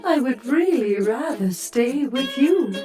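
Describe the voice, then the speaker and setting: Woman voicing a slow, plaintive, delicate alien lady. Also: high-pitched, expressive, music in the background. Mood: polite, weary. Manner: genteel, plaintive. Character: wistful, delicate female alien, last of her kind. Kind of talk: comic sci-fi audio drama.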